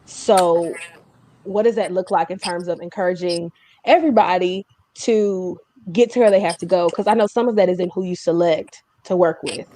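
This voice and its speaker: A woman's voice: fairly low pitch, normal speed, poor audio. A woman hosting a casual online interview, with a playful, teasing way of telling stories and asking questions. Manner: playful, teasing, animated storytelling. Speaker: host interviewer. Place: remote online video call.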